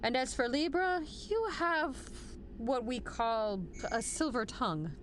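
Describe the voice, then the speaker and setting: Woman acting as a commanding, high-voiced female general. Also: expressive, unhurried, a little hiss or hum. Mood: grave, deliberate. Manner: formal, authoritative briefing delivery. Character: stern, commanding female military general, measured and dryly wry. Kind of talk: scripted audio drama acting.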